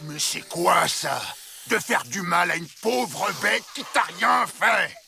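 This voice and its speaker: An actor playing an indignant, scolding character in an animated cartoon. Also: medium pitch, slow, vocal fry, full character voice. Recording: music behind the voice.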